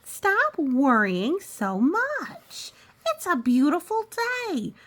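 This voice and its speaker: A woman voicing a cheerful, encouraging pig in a storybook, coaxing a friend with drawn-out words. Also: sits high, wildly swooping pitch, voice pushed up, heavily acted. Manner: bright-spirited, drawn-out coaxing.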